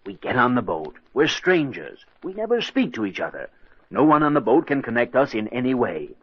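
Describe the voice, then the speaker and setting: An actor playing a cocky, impatient diamond smuggler in an insistent, persuasive old-time radio drama style. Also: male voice, mid-range voice, big pitch swings, a little fast, husky, dry recording. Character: scheming smooth-talking male diamond smuggler, impatient and cocky. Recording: dull, lo-fi old radio recording.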